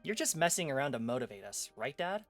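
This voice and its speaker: An eager young hero talks quickly and hopefully, his voice lifted a little above plain speech, as a man reads him. Faint music hums in the background. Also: mid-range voice, very animated, fairly bright tone, pitched above the performer's own voice.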